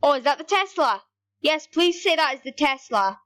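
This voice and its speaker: High-voiced woman narrating gameplay. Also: normal speed. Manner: excitable, rambling, talks to viewers. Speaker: gaming YouTuber. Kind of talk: casual gameplay commentary.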